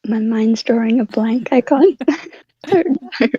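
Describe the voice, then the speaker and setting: A woman's voice: on the high side, measured pace, mellow, slightly rough, no room sound. A woman chatting casually as a panel guest, with a hesitant, shy delivery over slightly muffled voice-chat audio. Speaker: voice actor on a podcast panel.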